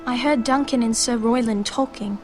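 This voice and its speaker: A woman's voice: a little high, moderate pace, soft background music, close to an everyday voice. An actress playing a protective, composed noble girl in a fantasy game, speaking in a proper, reassuring way. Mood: concerned, proper. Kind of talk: dramatic fantasy game acting.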